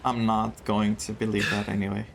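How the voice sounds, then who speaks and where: A man's voice: fairly low pitch, monotone, normal speed, vocal fry, a little hiss or hum. A man streaming a story game playthrough, reacting emotionally as he plays. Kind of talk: casual playthrough commentary.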